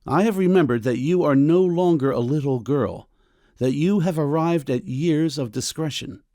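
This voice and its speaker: A man playing a stern, middle-aged doctor and father in a period audiobook drama, with a measured, formal, coolly ironic manner. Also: on the high side, mellow, no room sound, studio quality, natural voice. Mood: stern, disapproving. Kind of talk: full-cast audiobook period drama acting.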